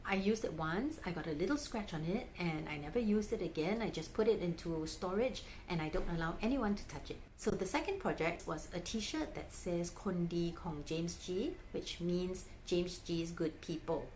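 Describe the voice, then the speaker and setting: A woman's voice: on the low side, moderate pace, few pauses, a little mellow, a little hiss or hum. A woman narrating her own vlog in a chatty, gushing fan manner. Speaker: vlogger. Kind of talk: casual narration.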